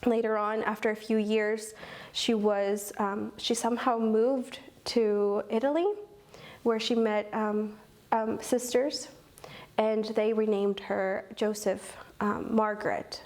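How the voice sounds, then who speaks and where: Young woman, twenties, interview guest, earnest, explanatory, lots of ums, mid pitch. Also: steady tone, takes it slowly, a little mellow. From Owensboro, Kentucky. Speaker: guest, program coordinator. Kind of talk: interview answers.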